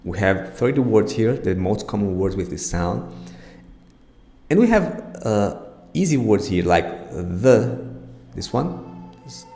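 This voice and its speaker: Slow, low-voiced man teaching pronunciation. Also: animated, warm tone. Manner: encouraging, reassuring. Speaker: pronunciation teacher and show host. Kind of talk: informal pronunciation lesson. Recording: echoey room with long reverb.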